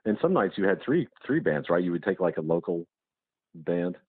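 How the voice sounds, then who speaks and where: A man's voice: deep voice, very animated, moderate pace, breathy edge, dry recording. A man interviewing a musician over a muffled phone line in a casual, hesitant way, rambling through his questions.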